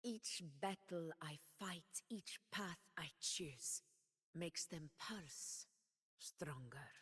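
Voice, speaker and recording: An actress voicing a fierce, commanding warrior woman ruler in a video game, slow and grand in speech. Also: low voice, lively intonation, husky, in a large hall, heavily acted. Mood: resolute. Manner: slow, measured and grand in speech; roaring battle shouts. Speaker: game character.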